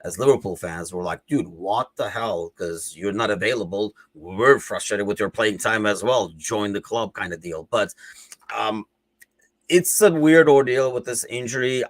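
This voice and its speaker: A man's voice: mid-range voice, no room sound. A man with a Turkish accent, speaking English as a second language, hosting a casual football chat in a rambling, conversational way full of fillers. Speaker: podcast host.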